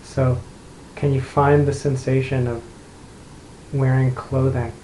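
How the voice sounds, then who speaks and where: A man leading an unscripted guided meditation, speaking gently and unhurriedly with long pauses between phrases. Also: medium pitch, level tone. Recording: roomy echo, light background noise.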